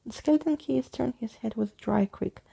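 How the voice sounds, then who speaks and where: A woman reads a bedtime story in ASMR style. Her voice is mellow and slightly husky, with heavy vocal fry throughout. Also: on the high side, fairly quick. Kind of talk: soft-spoken ASMR bedtime story reading.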